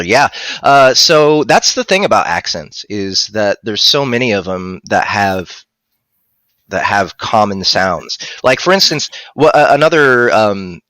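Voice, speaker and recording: Bright, low-pitched man chatting. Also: no room sound. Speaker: audiobook narrator and producer co-hosting a live stream. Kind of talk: casual live-stream discussion, reading out viewer questions. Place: indoor room at home. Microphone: headset mic.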